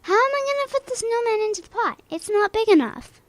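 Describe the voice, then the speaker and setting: A woman's voice: extremely high pitch, expressive, fast, dry recording. A female performer playing a helpful, earnest young girl in a children's radio play, hesitant and full of questions. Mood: earnest, unsure.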